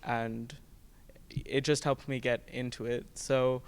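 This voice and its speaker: A teenage male student actor with an American accent, explaining his process in a measured, conversational way during an interview. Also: mid-range voice, level tone, smooth. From United States. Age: teens.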